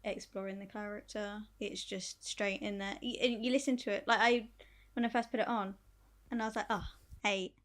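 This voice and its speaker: A woman's voice: mid-range voice, steady tone, normal speed, a bit bright. A woman chatting casually as the co-host of a podcast. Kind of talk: casual chat.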